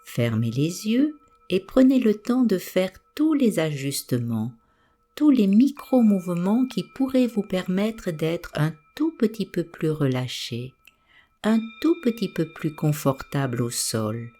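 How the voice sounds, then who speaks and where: A woman with a very low, soft-edged mellow voice leads a guided meditation. She gives soothing instructions at a very slow pace, with a gentle melodic rise and fall in her phrasing. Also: sing-song.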